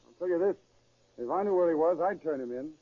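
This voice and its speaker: An actor playing a tough, determined, persuasive police sergeant with slangy speech in a 1930s radio crime drama. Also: male voice, on the high side, animated, natural voice. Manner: fast, slangy, insistent. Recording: thin, tinny 1930s radio recording.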